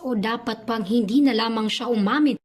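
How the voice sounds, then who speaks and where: A woman's voice: a little high, a little fast, lightly acted. A woman playing a chatty, teasing love-advice radio DJ with a Filipino accent, slangy and switching between languages. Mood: upbeat, curious. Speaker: radio host. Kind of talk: lively call-in radio show hosting. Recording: music bed behind the voice.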